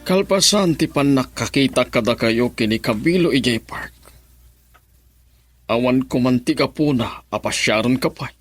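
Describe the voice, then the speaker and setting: A man acting a concerned older relative in an Ilocano radio drama, questioning in a measured, probing way. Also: medium pitch, expressive, no room sound, faint music behind, natural voice.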